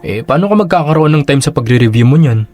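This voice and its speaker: A man's voice: a little high, lively intonation, fast, darkish tone, soft background music, close to an everyday voice. A man playing a young younger brother who works abroad and keeps coaxingly pushing practical advice, in a radio drama. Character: younger brother working abroad in a call center.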